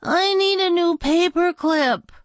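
A woman's voice: very high-pitched, slow, no room sound, pitched above the performer's own voice. A woman reading a storybook aloud, voicing an anxious young schoolgirl who whines and stammers apologies.

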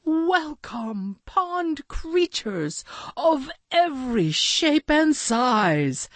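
A woman's voice: fairly high pitch, sing-song, drawn-out words, mellow, husky, dry recording, voice pushed up, exaggerated voice. A woman voicing a polite, showy male pond animal who announces grandly in a children's story. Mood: grand welcome.

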